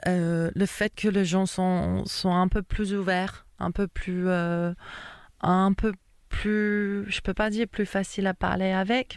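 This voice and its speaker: Chatty Australian woman, crisp and clear. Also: on the low side, no echo, clean studio sound. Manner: lively, laughs often, many fillers. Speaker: guest. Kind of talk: casual interview chat.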